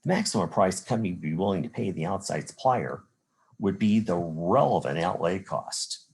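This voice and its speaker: A man's voice: deep voice, animated, moderate pace, gravelly, darkish tone, slightly rough. A man from the Chicago area with an American accent, giving a recorded college lecture as an instructor, explaining examples methodically step by step. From Chicago area, United States. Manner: methodical, step-by-step explaining with rhetorical "well" and "again".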